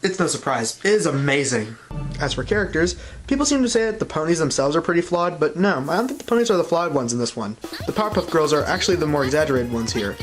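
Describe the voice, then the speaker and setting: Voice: mid-range voice, lively intonation, quick pace, music in the background. A reviewer giving blunt, opinionated scripted commentary with a sardonic manner. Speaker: reviewer, host of an online review show.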